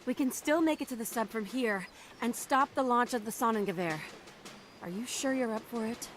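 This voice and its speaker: Woman acting a feisty, higher-voiced young resistance fighter. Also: moderate pace, slight background noise, close to an everyday voice. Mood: composed. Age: young adult. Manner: sharp-tongued, direct. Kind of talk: dramatic video game acting.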